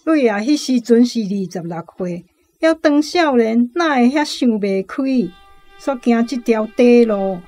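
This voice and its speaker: High-voiced Taiwanese village gossip. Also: female voice, animated, a little slow, warm tone, dry recording, soft background music. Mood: pitying. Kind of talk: radio drama acting.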